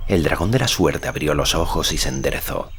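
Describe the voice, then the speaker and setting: Very deep-voiced male audiobook narrator. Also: animated, a bit bright, a little hiss or hum. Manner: measured, solemn storytelling. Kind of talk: scripted literary narration. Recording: faint music behind the voice.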